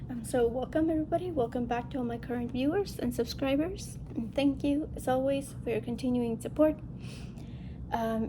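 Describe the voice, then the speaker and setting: A woman with a congested, nasal voice from a lingering illness, chatting casually and a little ramblingly to viewers as she hosts a craft show-and-tell video. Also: a little high. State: getting over a respiratory illness. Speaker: host of a cross-stitch video channel. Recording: noticeable background noise.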